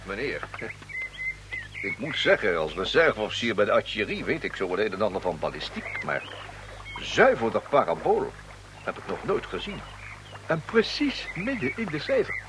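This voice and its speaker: An actor in a comic radio play as a fussy gentleman bystander, polite, pedantic and wordy. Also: fairly low pitch, huge pitch swings, moderate pace. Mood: admiring, pedantic. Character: fussy, verbose gentleman bystander, a reserve officer. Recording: noisy outdoor scene in radio play.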